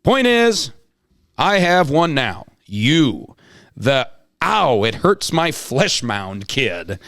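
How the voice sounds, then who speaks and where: A man playing a flustered, long-winded, greedy school principal in an amateur comic table read, halting and exclamatory. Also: mid-range voice, sing-song, fairly bright tone, no room sound, clean studio sound, full character voice. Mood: eager, scheming.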